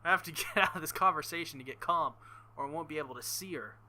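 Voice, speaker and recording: A man hosting a visual novel playthrough, reading lines aloud and tossing in animated, sweary, joking commentary. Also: a little high, fast talker. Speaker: video game playthrough host.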